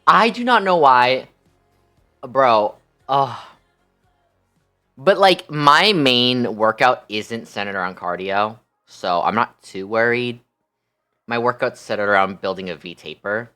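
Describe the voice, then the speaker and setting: A man's voice: medium pitch, lively intonation, slow, sharp tone, faint music behind. A man chatting casually on a livestream in a sassy, campy, slangy way peppered with swearing. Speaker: live streamer.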